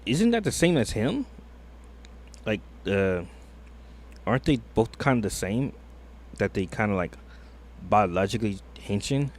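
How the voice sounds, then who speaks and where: A man's voice: fairly low pitch, sing-song, unhurried, long pauses, a little hiss or hum. A man streaming a reaction video, thinking aloud in a hesitant, rambling way full of fillers. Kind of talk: casual reaction commentary.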